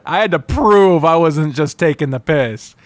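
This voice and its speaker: A man's voice: fairly high pitch, expressive, measured pace, no room sound. A man giving an unscripted, casual review monologue, candid and rambling, with emphatic wording and some swearing. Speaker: YouTuber reviewing visual novels.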